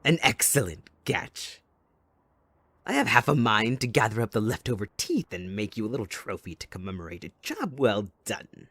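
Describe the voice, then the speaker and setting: A man playing a sadistic, possessive supervillain kidnapper in an audio roleplay, taunting theatrically and mock-sweetly, moving between hushed menace and outbursts. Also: lowish voice, big pitch swings, normal speed, dry recording, full character voice. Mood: mock-mournful, taunting. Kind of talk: dramatic audio roleplay monologue.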